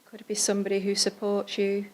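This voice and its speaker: Even-toned woman guiding a meditation. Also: mid-range voice, fairly quick. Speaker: meditation leader. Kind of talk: guided Buddhist loving-kindness meditation.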